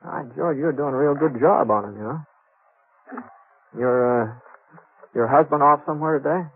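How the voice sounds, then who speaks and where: A man's voice: a little high, husky. A man playing a modest, courteous drifting gunslinger in a 1950s radio western, speaking hesitantly and folksily. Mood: hesitant, polite. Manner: hesitant, halting, folksy drawl in phrasing. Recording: dull old 1950s radio recording.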